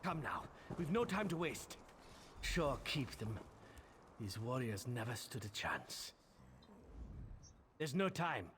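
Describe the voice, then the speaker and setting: A resolute warrior leader, noisy audio. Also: male voice, mid-range voice, sing-song, slow, long pauses. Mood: urgent. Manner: commanding, resolute. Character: gruff, determined Nord warrior leader rallying his troops. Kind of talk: fantasy video game acting. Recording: clearly noisy game audio with ambience.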